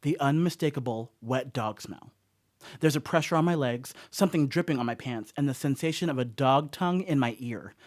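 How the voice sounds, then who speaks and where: An actor playing a rattled, rambling stoner dog sitter in a radio play monologue, telling a story conversationally with wry asides. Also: male voice, medium pitch, brisk, natural voice. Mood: alarmed, urgent.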